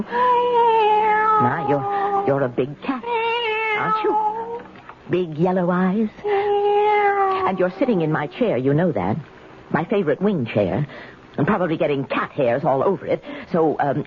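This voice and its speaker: An elderly, lonely woman muttering fretfully to herself in a vintage radio play. Her pitch swoops widely as she repeats and hesitates. The recording is narrow and dulled, with clear background noise. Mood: startled, scolding. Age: older. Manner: chattering to herself, halting repeats. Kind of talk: 1970s radio drama acting. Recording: noisy, band-limited 1970s radio recording.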